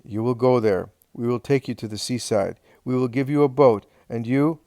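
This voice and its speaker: A man's voice: medium pitch, normal speed, mellow, no room sound, studio quality, close to an everyday voice. A man voicing a stern, domineering head of a household, who gives orders and rebukes in a curt, commanding way. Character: stern, domineering older male clan head.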